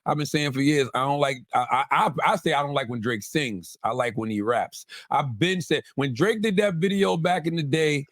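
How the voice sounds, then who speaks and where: Higher-voiced emphatic man talking casually. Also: normal speed, few pauses, a bit bright, no room sound, studio quality. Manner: emphatic storytelling, repeats phrases, swears. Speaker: show host. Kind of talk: animated casual group discussion.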